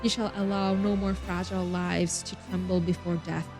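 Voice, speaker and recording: A woman's voice: on the low side, flat delivery, normal speed. A woman streaming a game, reading story text aloud expressively and mixing in casual, chatty asides. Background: game music.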